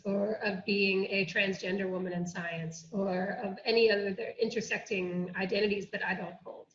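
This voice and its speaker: A woman's voice: mid-range voice, fairly bright tone. A woman with an American accent giving an earnest, explanatory academic presentation at an online workshop. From United States. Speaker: academic oceanographer presenting a programme. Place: indoor room, online workshop. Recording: muffled, roomy online-call audio.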